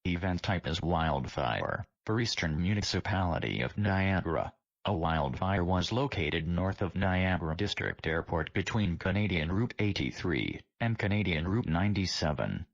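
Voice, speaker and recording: A man's voice: sits low, expressive, moderate pace, vocal fry. A man reading scripted emergency warnings as an announcer, formal and impersonal. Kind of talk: scripted emergency alert narration. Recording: dull, lo-fi broadcast audio.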